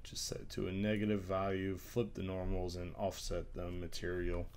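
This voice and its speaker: A young man with a very low, congested voice walks through a software tutorial, his throat strained from a recent illness. He hesitates often with filler sounds as he works, and keyboard typing is heard behind him. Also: completely flat delivery, moderate pace. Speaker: tutorial creator. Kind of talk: screen-recorded software tutorial.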